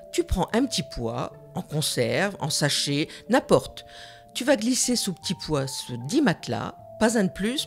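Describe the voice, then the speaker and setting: A woman's voice: mid-range voice, close to an everyday voice. A woman voicing a bossy, scheming queen. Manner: bossy, theatrical. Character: scheming queen mother, pushy and absurd.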